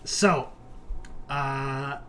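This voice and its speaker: A man's voice: medium pitch, steady tone, extremely slow, a bit bright, slight background noise. A man narrating a tabletop roleplaying game as game master, improvising informally and addressing the players as "you".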